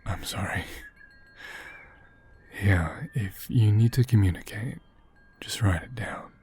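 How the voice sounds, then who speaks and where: Guy acting as a gentle asmr doll caretaker, really slow n soft, mellow half-whispered reassuring voice. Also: lowish voice, full character voice. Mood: apologetic, gentle. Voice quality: soft, breathy, often whispered. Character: gentle, caring man tending a fragile living doll. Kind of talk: ASMR roleplay.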